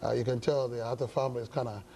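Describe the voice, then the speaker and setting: A middle-aged man with a Ghanaian accent, speaking as an interview guest in measured, hesitant phrases. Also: mid-range voice, steady tone, gravelly, warm tone, low-quality recording. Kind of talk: studio interview, measured explanation. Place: indoor television studio set. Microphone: lapel mic.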